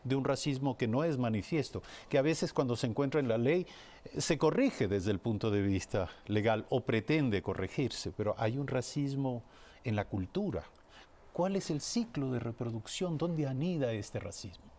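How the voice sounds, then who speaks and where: A man hosting a television interview, posing formal questions in a deliberate, rhetorical way with repeated phrases. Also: medium pitch, animated, a little mellow.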